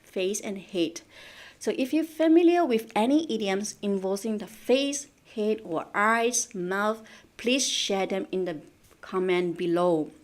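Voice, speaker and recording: Japanese teacher from Malaysia, live lesson. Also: female voice, medium pitch, measured pace. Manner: repetitive, asks viewers questions, mixes English and Japanese.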